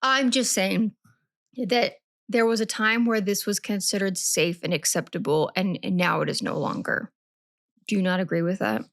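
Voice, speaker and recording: A woman's voice: mid-range voice, normal speed, vocal fry, fairly bright tone, no echo. Chatty woman podcast co-host, dry sound. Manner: chatty, rambling, self-correcting. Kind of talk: casual true-crime podcast chat.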